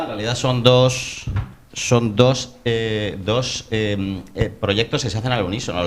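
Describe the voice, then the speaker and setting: A man's voice: lowish voice, a little hiss or hum. A man with a Spanish accent from Spain giving an informal conference presentation as an architect, colloquial and self-interrupting, with joking asides.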